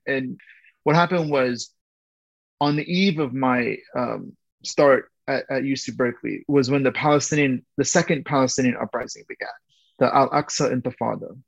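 A man's voice: medium pitch, vocal fry, no echo, lo-fi sound. Man thinking aloud in loose chat. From born in Iran, raised in Southern California. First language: Persian. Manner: thinking aloud, frequent restarts and repeated words. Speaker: historian guest. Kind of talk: casual interview talk.

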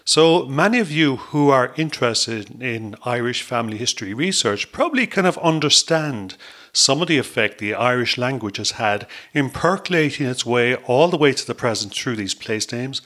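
A man's voice: mid-range voice, animated, normal speed, a bit bright, no echo. A man hosting a podcast in Ireland, talking in an upbeat, chatty way with frequent fillers. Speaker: podcast host. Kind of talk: podcast interview.

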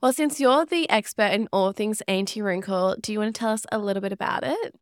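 A woman's voice: medium pitch, fairly quick, clear, resonant tone, dry recording, clean studio sound. A woman with a slightly nasal voice, chatting casually as a podcast co-host. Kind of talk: casual chat.

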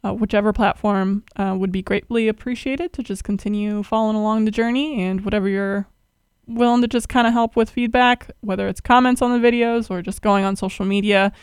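A woman's voice: medium pitch, fairly even intonation, brisk, clear, resonant tone, dry recording, studio quality. A young woman hosting a podcast, asking questions in a friendly, rambling way with lots of fillers. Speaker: podcast host. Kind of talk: casual interview.

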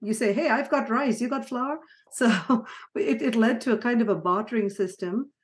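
A middle-aged woman born in Sri Lanka and raised in Ghana, talking through her life story as a podcast guest, with soft laughs and frequent restarts. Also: a little high, moderate pace. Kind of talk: conversational storytelling interview.

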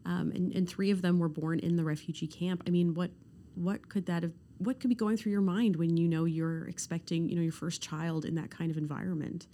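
Soft-spoken woman hosting. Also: fairly low pitch, fairly even intonation, brisk, a little hiss or hum. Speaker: podcast host. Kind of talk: interview hosting, scripted intro.

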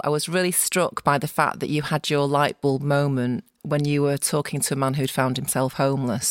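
A woman with a Manchester accent hosting a podcast interview, chatty and quick to laugh. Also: deep voice, clear, resonant tone, dry recording, studio quality. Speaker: podcast host.

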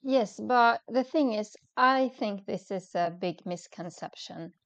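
A woman's voice: mid-range voice, takes it slowly, a bit bright, no room sound. A young woman from Sweden, a guest on a podcast, speaking hesitantly over a call with many ums and restarts. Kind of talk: casual interview chat. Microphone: remote call.

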